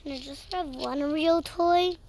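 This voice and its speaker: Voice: high voice, measured pace, a little mellow, slight background noise. A performer playing a homesick, sulky young boy in an audio drama, speaking in a plaintive, hesitant, childlike way. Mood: timid.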